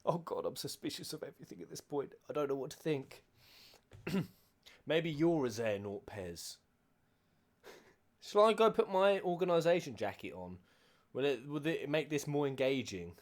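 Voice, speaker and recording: A man's voice: a little high, lively intonation, normal speed, long pauses. A man doing casual live reaction commentary as a game streamer, jokey, sweary and prone to exclaiming.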